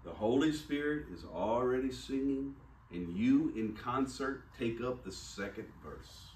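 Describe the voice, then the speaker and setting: A man's voice: medium pitch, lively intonation, measured pace, darkish tone. A man preaching a sermon, teaching in a folksy, joking way and laughing often. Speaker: pastor. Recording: roomy church hall, distant mic, faint hiss.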